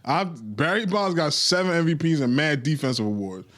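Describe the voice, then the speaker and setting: A man's voice: a little high, expressive, normal speed, a bit bright. A man hosting a casual podcast chat, arguing and swearing loosely with friends. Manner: argumentative, swearing, laughs often.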